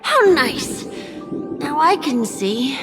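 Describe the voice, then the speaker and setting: A high-voiced, innocent child. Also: female voice, lively intonation, slow pace. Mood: innocent, curious. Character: innocent, gentle, curious young child. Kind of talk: dramatic video game acting. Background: music.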